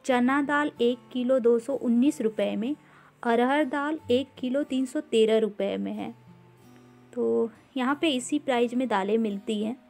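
A woman giving an unhurried, casual walkthrough of a grocery store, filming on a handheld phone and mixing English words into her talk with frequent "uh" fillers. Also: on the high side, vocal fry, a little mellow, faint music behind. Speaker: vlogger touring a grocery store.

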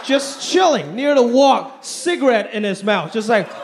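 High, lively-voiced man doing stand-up. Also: moderate pace. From Hong Kong. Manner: animated, pitch swinging high and low for punchlines. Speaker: stand-up comedian. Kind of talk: stand-up comedy set. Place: large theater stage with live audience. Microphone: handheld stage mic. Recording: live show with faint crowd and room noise.

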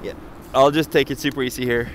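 A young man on a snowy mountain ski tour, chatting casually and excitably into a body-worn action camera, swearing and laughing often. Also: medium pitch, quick pace, a little hiss or hum. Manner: excitable, swears, laughs a lot, says "dude". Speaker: skier and vlogger. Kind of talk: casual outdoor chat while ski touring. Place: outdoors on a snowy mountain couloir.

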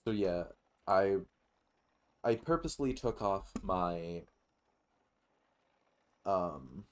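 A man's voice: low-pitched, lively intonation, extremely slow, slight background noise. A man giving casual, rambling let's play commentary with some swearing. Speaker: gaming YouTuber.

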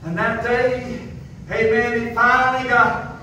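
A man's voice: very high voice, little pitch movement, takes it slowly, pushed and bright, highs cut off. A middle-aged man preaching a revival sermon in a small church, peppering every phrase with amen and hallelujah and rising into a sung chant. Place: small wood-paneled church, indoors. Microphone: far phone camera in the pews. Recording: echoey room from far off, noisy.

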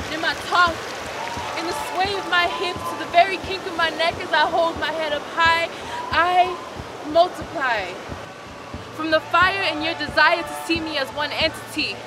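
A young woman performing spoken word poetry outdoors on a rocky beach, with a rhythmic, emphatic delivery. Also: extremely high pitch. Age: young adult. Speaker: poet. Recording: outdoor recording with loud surf noise. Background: crashing ocean waves.

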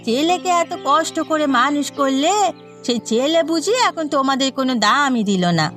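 A woman as a high-pitched, needling village gossip. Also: lively intonation, a little slow, fairly bright tone. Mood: insinuating. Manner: insinuating, needling. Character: nosy, gossiping village woman stirring up trouble. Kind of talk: cartoon drama dialogue. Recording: music behind the voice.

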